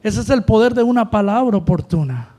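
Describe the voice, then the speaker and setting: A man's voice: high voice, animated, brisk, warm tone. A male pastor preaching a sermon, calling out to the congregation with rising emphasis.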